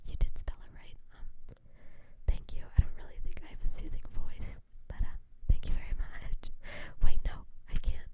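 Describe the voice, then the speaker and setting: A woman VTuber streamer whispering through a relaxed ASMR chat, rambling and joking about herself, on a standard non-binaural mic. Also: moderate pace. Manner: rambling, self-mocking, giggly.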